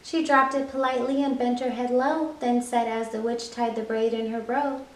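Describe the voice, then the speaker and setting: A woman hosting a children's storytime, reading a picture book aloud with an expressive, rhythmic rhyming delivery. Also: a little high, normal speed. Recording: echoey room.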